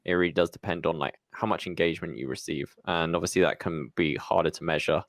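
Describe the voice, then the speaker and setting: A man's voice: deep voice, fairly even intonation, fast, dry recording, clean studio sound. A man hosting a podcast, interviewing conversationally with loose, tentative phrasing. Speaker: podcast host.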